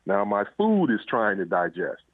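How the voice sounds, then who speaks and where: A man's voice: fairly low pitch, huge pitch swings, normal speed, no echo. A man answering interview questions as an author, in a reflective, conversational way, often saying "you know". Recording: muffled, phone-like line.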